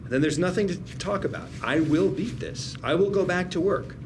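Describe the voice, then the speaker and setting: A man's voice: sits high, lively intonation, moderate pace. A man acting a proud, principled ailing attorney and older brother at a drama table read, arguing in a precise, lecturing way. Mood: insistent, argumentative. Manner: precise, didactic, lawyerly argument. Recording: clearly noisy room recording.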